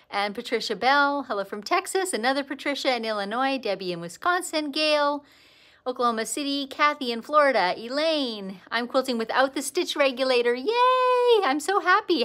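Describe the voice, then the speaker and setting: An older woman from Canada with a Canadian accent, hosting a casual quilting livestream, greeting viewers by name and chatting about her craft. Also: fairly high pitch. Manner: chatty, warm greetings to viewers, lively bursts of excitement. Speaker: quilting teacher and livestream host. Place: indoor sewing studio.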